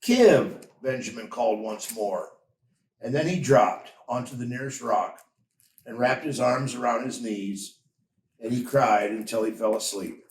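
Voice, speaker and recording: Slow-reading male storyteller in a roomy space. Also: mid-range voice. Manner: measured, expressive storytelling. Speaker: story reader. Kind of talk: scripted storybook narration. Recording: roomy echo, distant from mic.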